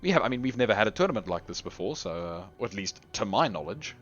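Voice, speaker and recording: A man giving chatty live commentary on a game tournament, his voice going hoarse. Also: lowish voice, very animated, fast talker, a bit bright. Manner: chatty, rambling, lots of restarts and laughs. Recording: dull lo-fi recording with faint music.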